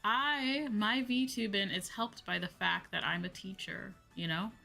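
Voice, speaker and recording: A woman streamer chatting casually and answering viewers in an animated, conversational way, often repeating phrases for emphasis. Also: medium pitch, a little slow, gravelly. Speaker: VTuber streamer; high school teacher. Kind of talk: casual livestream chat, replying to viewers. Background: background music.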